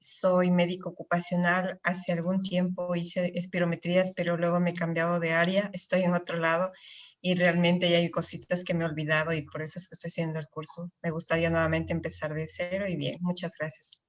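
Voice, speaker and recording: A woman from Ecuador with a low, flat voice introduces herself politely on a video call. She speaks steadily, with little rise and fall. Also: brisk. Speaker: occupational physician, course participant.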